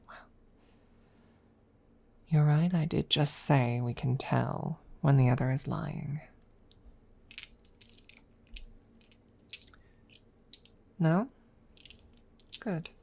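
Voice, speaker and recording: A woman voicing a haughty, centuries-old dragon rival who is secretly smitten, speaking haltingly in a close-miked ASMR roleplay. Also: sits low, vocal fry, darkish tone, heavily acted. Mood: guarded, hesitant. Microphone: close microphone.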